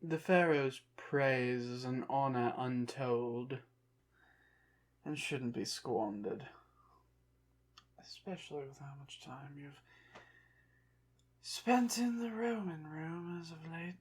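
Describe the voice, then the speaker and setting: A performer in an ASMR roleplay voicing a haughty, prideful undead Egyptian pharaoh, slow and softly acted, with pompous, formal phrasing. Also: mid-range voice, slightly rough. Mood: proud, jealous.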